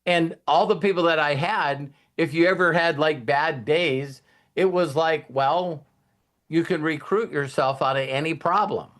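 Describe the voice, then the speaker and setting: A man with a somewhat high-pitched voice talks casually as a motivational host. He rambles along in a folksy way, leaning on anecdotes and plain language. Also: a little slow, a bit bright. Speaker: host of a motivational team talk.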